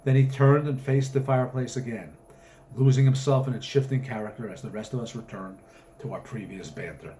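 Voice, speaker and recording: A man's voice: medium pitch, fairly quick, mellow, slight background noise, poor audio. A man reading his own short story aloud with a dramatic, literary narrating delivery.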